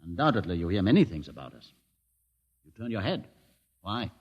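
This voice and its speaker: A crippled mutant leader from an old 1950s radio play, sardonic and unhurried. His husky voice rises and falls with lively, ironic intonation. He speaks as a man used to giving orders. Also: deep voice, lo-fi sound, full character voice. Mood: calm, sardonic. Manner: measured, ironic, commanding. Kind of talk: 1950s radio drama acting.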